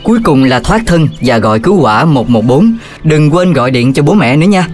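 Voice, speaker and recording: A man's voice: fairly high pitch, expressive, fairly quick, faint music behind, close to an everyday voice. A man playing a kindly firefighter who instructs children in a didactic, encouraging way in a cartoon. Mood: encouraging, instructive.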